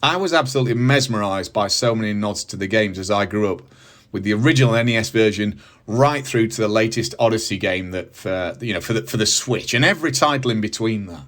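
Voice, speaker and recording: A man's voice: on the low side, fairly quick, a bit bright. A middle-aged man with a British accent, presenting a scripted review with casual asides and emphatic, enthusiastic phrasing. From United Kingdom. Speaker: host of a movie review channel.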